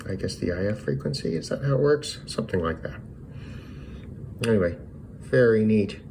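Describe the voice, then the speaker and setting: Low-voiced man talking casually over noise. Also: creaky, a little mellow. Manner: tentative, thinking aloud with trailing asides. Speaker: electronics hobbyist and video presenter. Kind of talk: casual, unscripted repair walkthrough.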